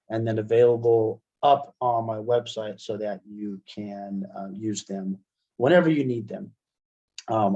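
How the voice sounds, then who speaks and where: Muffled, mellow American man teaching software. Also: lowish voice. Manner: explanatory, step-by-step. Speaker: assistive technology trainer. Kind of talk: casual webinar software demonstration. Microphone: headset.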